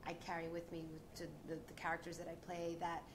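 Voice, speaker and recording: Earnest low-voiced woman actor. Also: completely flat delivery, fast. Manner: reflective, earnest, with restarts. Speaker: actress, panelist. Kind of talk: panel discussion. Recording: audible background noise.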